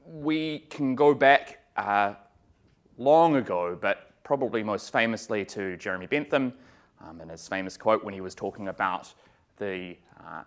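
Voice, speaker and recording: A man with a New Zealand accent giving an academic law lecture in a relaxed way, with many ums and uhs and some dry humor. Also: on the low side. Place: lecture room.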